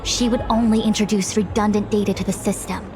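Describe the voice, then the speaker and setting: Woman doing calm bossy-in-charge diviner lady from a game, formal and precise, mid pitch, music under it. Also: close to an everyday voice. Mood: instructive. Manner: formal, measured, precise, poised. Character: composed, authoritative young woman, master diviner. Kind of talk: video game voice acting. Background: background music.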